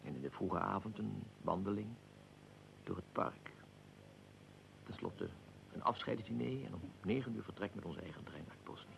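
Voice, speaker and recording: An actor playing a dignified archduke in a radio drama, recounting events in a measured, formal, deliberate way. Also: male voice, low-pitched, animated, gravelly, slight background noise, full character voice, rough recording. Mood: measured recollection. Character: archduke, dignified aristocrat recounting his own assassination. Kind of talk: radio drama, recollection in interview form.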